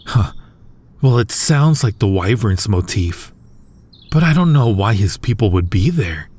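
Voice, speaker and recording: A man's voice: on the low side, big pitch swings, a little slow, a little mellow, breathy edge, exaggerated voice. A man playing a smug yet protective supervillain in an intimate audio roleplay, speaking huskily in a conversational, teasing and coaxing way. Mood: puzzled, probing. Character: male supervillain, smug yet protective toward his hero nemesis. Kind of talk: intimate ASMR-style audio roleplay acting.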